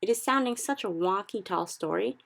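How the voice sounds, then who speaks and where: A woman voicing a big, friendly male giant in a storybook reading, who speaks slowly and mangles his grammar. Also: medium pitch, expressive, creaky, voice pushed up. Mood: stubborn. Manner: slow, odd jumbled grammar.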